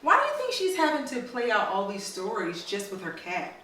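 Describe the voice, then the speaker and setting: A woman reading a storybook aloud to children in a teacherly way, pausing to prompt them with questions. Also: medium pitch, huge pitch swings, pushed and bright, a little hiss or hum. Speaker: mother reading a storybook to her daughters. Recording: very echoey room, slightly distant mic.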